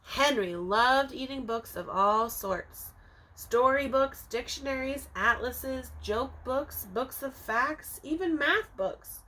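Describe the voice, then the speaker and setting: A woman teacher reading a picture book aloud to young children, expressively, with dramatic emphasis and drawn-out words, speaking to them directly. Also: high-pitched, pushed and bright, a little hiss or hum, low-quality recording. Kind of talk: animated children's storybook read-aloud.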